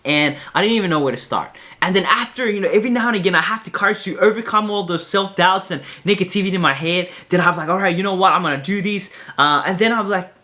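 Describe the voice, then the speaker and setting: A young man with a high-pitched voice talking casually and candidly to camera in a vlog, rambling with many fillers and restarts. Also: quick pace, hardly any pauses, sharp tone. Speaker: vlogger.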